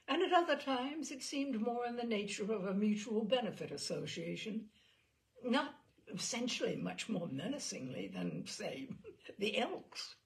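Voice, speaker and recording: A woman reading a memoir aloud as a narrator, in a wry and expressive storytelling manner. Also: medium pitch, vocal fry, low-quality recording. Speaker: reader. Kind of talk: audiobook narration.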